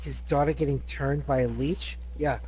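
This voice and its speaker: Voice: medium pitch, fairly even intonation, moderate pace, warm tone, slight background noise, poor audio. A player in a tabletop role-playing game who talks casually, restarting phrases while thinking aloud. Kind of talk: tabletop play.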